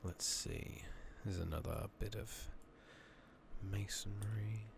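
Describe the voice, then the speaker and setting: A man doing soft-spoken, partly whispered ASMR game commentary, rambling along in a relaxed way as he thinks aloud. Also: lowish voice, slow pace, vocal fry, background noise. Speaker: game streamer, ASMR host. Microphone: makeshift new close microphone.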